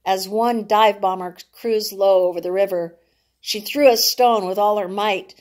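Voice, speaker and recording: A woman reading a novel aloud as its author, in a deliberate, dramatic narrating style, sometimes stumbling over words. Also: mid-range voice, fairly even intonation.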